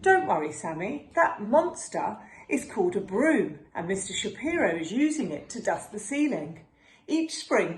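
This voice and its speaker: A woman voicing a patient mother spider in a storybook, gently chiding and instructing her young one with drawn-out key words. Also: medium pitch. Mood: reassuring. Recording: roomy echo with faint background noise.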